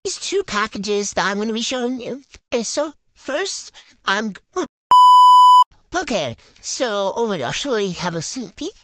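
A teenage girl chatting casually while unboxing a haul, gushing and excitable with lots of fillers. Her voice runs through a voice changer effect, recorded on a handheld phone in her bedroom. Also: mid-range voice, a little slow, very noisy. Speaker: vlogger showing a haul.

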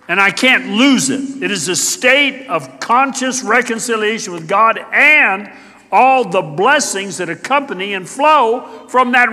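High, bright-voiced man preaching in a reverberant hall. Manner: emphatic, swings from loud to hushed. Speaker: pastor. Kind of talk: sermon. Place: church sanctuary.

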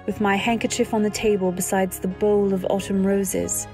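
An actress playing a wistful, refined young wife narrating her memories in an old-time radio drama, measured and literary. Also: medium pitch, little pitch movement, darkish tone, soft background music, lightly acted.

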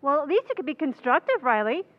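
A woman playing a dry, sharp-tongued housewife. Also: high-pitched, sing-song, quick pace, lo-fi sound. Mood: needling. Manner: dry, needling.

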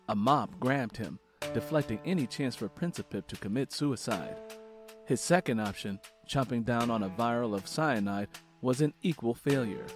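A man hosting a book interview podcast, reading a historical passage aloud in a measured, steady storytelling manner. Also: medium pitch.

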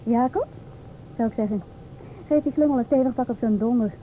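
An actress playing an outspoken, sharp-tongued woman in a Dutch radio play, with an assertive, mocking, theatrical delivery. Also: a little high, a little fast, lightly acted. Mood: feisty, scornful. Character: outspoken, sharp-tongued divorced woman lecturing two inspectors. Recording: old radio, thin phone-like sound, noisy.

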